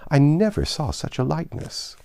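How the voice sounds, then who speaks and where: A man reading a storybook as an effusive, gallant young gentleman who repeats himself in eager praise. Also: a little high, huge pitch swings, moderate pace, vocal fry, voice pushed up, full character voice. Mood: rapturous.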